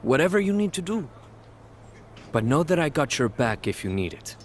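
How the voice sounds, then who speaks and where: A man's voice: fairly high pitch, very animated, normal speed. A man playing a friendly, loyal young wrestler in a video game, amiable and easygoing. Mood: supportive. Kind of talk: video game cutscene dialogue. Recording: faint background noise.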